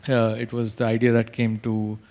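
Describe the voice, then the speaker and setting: Halting man from India speaking on a panel. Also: lowish voice, monotone, a little mellow. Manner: hesitant, many fillers and restarts. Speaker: panelist, company founder. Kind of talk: conference panel talk. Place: conference hall. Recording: reverberant hall.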